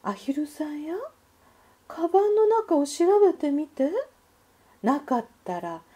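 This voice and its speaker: A woman voicing a gentle, caring mother duck in a children's storybook, in a soothing, polite manner. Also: sits high, sing-song, unhurried, voice pushed up.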